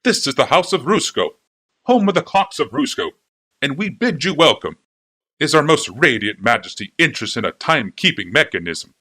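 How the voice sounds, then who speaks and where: A male narrator voicing an excitable, groveling shopkeeper who speaks in a rapid, gushing cadence. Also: mid-range voice, voice pushed up. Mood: fawning. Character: fawning, excitable older male clockmaker.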